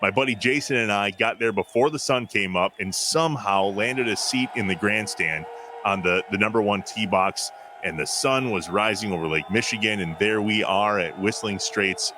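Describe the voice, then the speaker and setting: A man's voice: lowish voice, normal speed, background noise. An American man co-hosting a casual sports talk show, telling stories with enthusiasm and emphasis.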